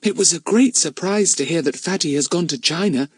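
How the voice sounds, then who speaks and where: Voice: mid-range voice, fairly quick, slightly rough, no echo, studio quality, pitched above the performer's own voice, close to an everyday voice. A narrator voicing a curious, questioning young girl in a storybook.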